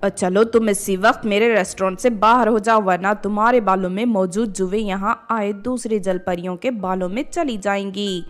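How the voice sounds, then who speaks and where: A woman voicing a stern, bossy mermaid restaurant owner who scolds and commands in an animated fairy tale. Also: mid-range voice, normal speed, few pauses, faint music behind, natural voice. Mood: scolding.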